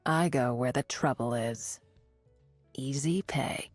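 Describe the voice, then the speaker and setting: An actress playing a cocky, hard-bitten, gold-hungry mercenary warrior in a video game, with a husky, gravelly voice and terse, swaggering delivery. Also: female voice, a bass voice, slow pace, no echo, faint music behind, heavily acted. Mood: cool, menacing. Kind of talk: video game character voice lines.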